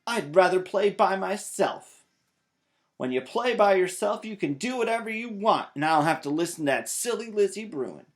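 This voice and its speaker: A man voicing a stubborn, shouty little girl bear from a storybook. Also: high-pitched, expressive, normal speed, pitched above the performer's own voice. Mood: sulky. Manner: shouty, insistent.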